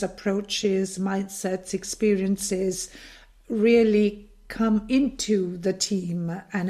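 A woman with an Italian accent hosts a conversational interview. She speaks slowly at a middle pitch, feeling her way through phrases with hesitant pauses. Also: darkish tone. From Italy. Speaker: interviewer, host.

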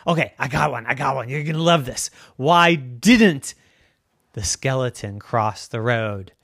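A woman voicing an eager young female pig who wants to be a comedian, in a squeaky, pleading, chatty way, for a bedtime story. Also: animated, unhurried, no room sound, clean studio sound, full character voice. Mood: chirpy.

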